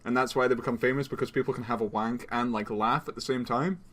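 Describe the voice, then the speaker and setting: A man co-hosting a podcast in casual, comedic brainstorming chat, excitable and given to swearing. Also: medium pitch, quick pace. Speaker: podcast co-host.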